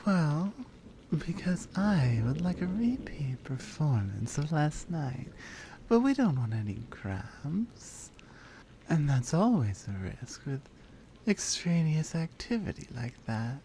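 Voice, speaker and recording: A woman voicing a flirty, confident lioness in an intimate ASMR roleplay, breathy and unhurried, drifting into whispers. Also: low-pitched, big pitch swings, dark tone, a little hiss or hum, heavily acted. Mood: seductive.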